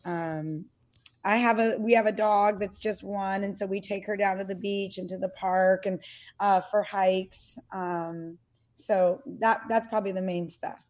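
A woman's voice: mid-range voice, fairly even intonation, a little slow, a little mellow. A middle-aged woman talking casually as an interview guest, earnest and hesitant, with many fillers and restarts. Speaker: podcast guest, CrossFit athlete and parent.

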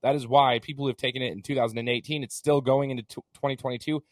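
A man hosting a podcast interview in a casual, chatty way, hoarse as he gets over an illness. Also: mid-range voice, fairly even intonation, fast, dry recording. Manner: chatty, many fillers and restarts. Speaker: podcast host.

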